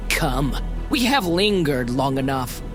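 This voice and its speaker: Man voicing a curt, high-pitched warrior woman. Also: big pitch swings, slow pace, over music. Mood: commanding. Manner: curt, barking. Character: fierce commanding female war leader, sharp and impatient.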